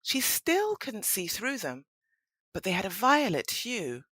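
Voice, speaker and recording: A woman's voice: medium pitch, huge pitch swings, measured pace, a bit bright, breathy edge, dry recording. A woman narrating a scripted children's story for an audio play.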